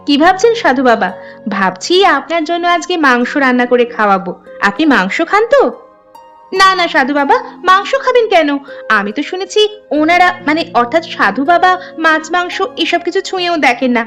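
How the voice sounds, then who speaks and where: A woman's voice: high voice, very animated, fairly quick, fairly bright tone, soft background music. A woman voicing a trusting, kindly and polite young village girl in a cartoon story. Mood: caring, puzzled.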